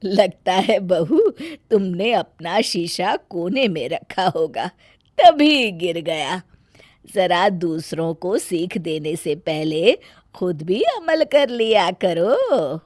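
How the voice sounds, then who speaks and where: A sensible middle-aged mother-in-law from a family storybook tale, acted by a woman. She sounds weary and measured as she reproves, drawing her words out slowly. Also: lowish voice, sing-song, darkish tone, dry recording, clean studio sound, full character voice. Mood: reproving.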